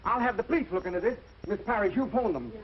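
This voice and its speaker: A man's voice: high-pitched, expressive, quick pace. A man acting an irate, officious store manager, curt and indignant, in a 1940s radio comedy. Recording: muffled old radio recording, faint noise.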